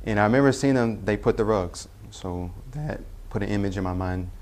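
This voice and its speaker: Low-voiced man telling his story. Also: a little mellow. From Tennessee, US Southern. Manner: halting, self-correcting with many "uh" pauses. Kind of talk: personal testimony.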